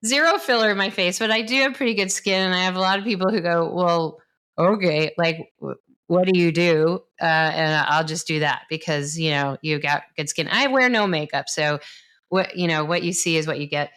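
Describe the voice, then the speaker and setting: Woman, 50s, low-pitched, enthusiastic physician-host who explains clearly. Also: moderate pace, a bit bright. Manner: enthusiastic, explains science plainly, laughs often. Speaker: doctor, podcast host. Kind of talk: casual conversation.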